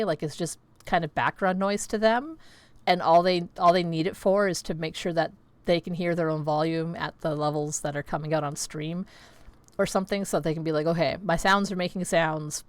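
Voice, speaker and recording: Slightly scratchy, low-voiced woman streamer. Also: no echo. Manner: rambling, filler-heavy, chatty. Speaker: livestreamer. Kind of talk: casual chat with viewers. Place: home streaming setup with green screen.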